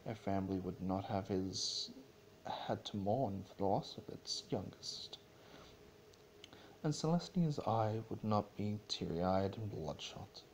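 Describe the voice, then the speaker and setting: A man narrating a story as a dramatic reading, in a solemn and somewhat halting way. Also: lowish voice, a little mellow, slight background noise, low-quality recording.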